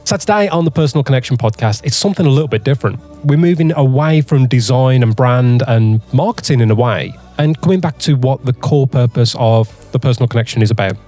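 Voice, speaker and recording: Host: man, mellow warm tone, chatty and matey, soft music underneath. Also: mid-range voice, fast talker, few pauses. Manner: chatty and matey, calls the guest mate. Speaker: podcast host. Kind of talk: casual interview talk.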